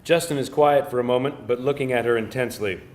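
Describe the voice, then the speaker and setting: A man's voice: mid-range voice, quick pace, slight background noise. A man reading scripted stage directions as the narrator of a screenplay table read.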